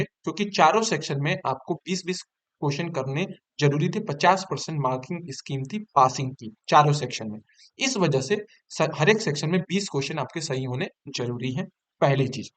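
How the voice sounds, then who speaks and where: A man with a fairly high voice talks as an online presenter. He explains things informally, like a tutor addressing students, and repeats key points and numbers to drive them home. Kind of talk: informal explanatory talk to exam candidates.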